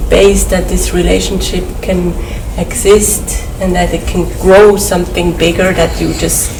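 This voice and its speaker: A woman's voice: lowish voice, level tone, a little slow, gravelly, roomy sound. A woman of Hungarian roots talking earnestly at home, often searching for words. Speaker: family host. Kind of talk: casual reflective conversation. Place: home in a city. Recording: distant mic with noticeable noise.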